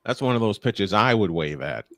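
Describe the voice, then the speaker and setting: An older man doing live baseball play-by-play with folksy, playful banter between pitches. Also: sing-song, normal speed, fairly bright tone.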